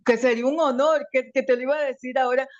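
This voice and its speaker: A woman with a slight foreign accent in her Spanish, giving an emotional, grateful personal testimony on a video call, halting with many restarts. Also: sits high, steady tone, a little mellow, no room sound. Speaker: webinar participant. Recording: dry, muffled video call audio.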